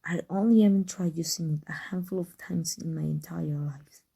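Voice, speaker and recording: A woman with a Mexican accent playing a proud, secretly devoted villainess in an intimate ASMR roleplay, halting and emotional. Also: deep voice, fairly even intonation, gravelly, mellow, husky, heavily acted. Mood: hushed, pained confession. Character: proud, flustered, secretly devoted villainess with life-transfer power.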